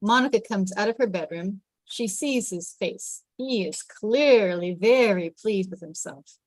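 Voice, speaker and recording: A woman's voice: medium pitch, unhurried, a little mellow, no echo, rough recording. Dry-recorded woman narrating a script. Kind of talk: scripted narration of stage directions.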